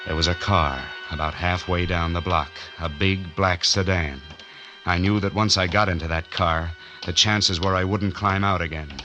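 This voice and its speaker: A man's voice: low-pitched, fairly even intonation, normal speed, natural voice. An actor playing a broke, hunted man who narrates his own story in terse, slangy 1940s radio noir style. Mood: tense, weary. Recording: muffled vintage 1940s radio, music underneath.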